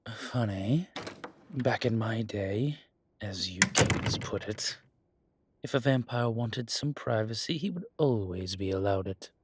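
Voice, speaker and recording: A man playing a centuries-old, self-assured vampire in an audio drama, husky, with a dry, wry manner. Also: on the low side, animated, slow pace, a little hiss or hum. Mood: sardonic.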